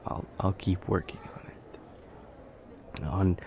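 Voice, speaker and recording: A man's voice: sits low, fairly even intonation, slow pace, highs cut off, noisy background. A man playing an anxious, self-deprecating vampire boyfriend in an intimate roleplay audio, stammering and rambling, often dropping to a murmur. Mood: embarrassed, sheepish. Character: nervous, self-deprecating immortal male vampire boyfriend, affectionate.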